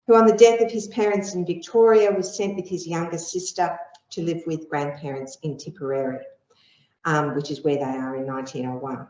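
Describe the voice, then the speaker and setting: Low-voiced woman lecturing in an echoey room. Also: darkish tone. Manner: measured, explanatory, with frequent ums. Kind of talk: academic lecture with slides. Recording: echoey room, dull lo-fi sound.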